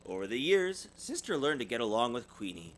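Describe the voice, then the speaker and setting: A man's voice: medium pitch, sing-song, fairly quick. A man reading a children's storybook aloud as a parent would, expressively and with small stumbles. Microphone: USB desktop microphone.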